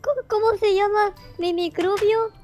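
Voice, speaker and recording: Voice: very high-pitched, fairly even intonation, moderate pace, darkish tone, faint music behind, pitched above the performer's own voice. A performer voicing a bossy, snippy little girl in a children's game roleplay, dismissive and demanding.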